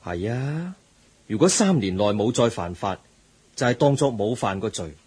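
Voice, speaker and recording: Radio play: a kindly, earnest teacher, Hong Kong Cantonese, husky voice with animated lilt. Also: male voice, on the low side, moderate pace. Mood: lecturing. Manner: lecturing, earnest. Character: patient middle-aged teacher, moralizing and kind.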